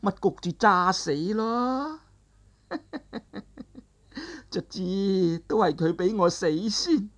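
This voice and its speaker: A male storyteller voicing an aged woman martial arts master who is bitter and grieving, in a high strained falsetto that wavers between laughter and sobbing. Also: very slow, pauses often, heavily acted. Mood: mocking. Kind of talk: traditional storytelling, voiced character dialogue.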